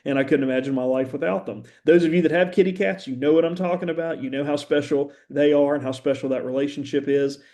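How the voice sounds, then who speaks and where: A middle-aged man from West Virginia, a university professor, giving a conversational, earnest introductory lecture with frequent "uh" pauses and an occasional stammer. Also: a little high, dark tone. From West Virginia, USA.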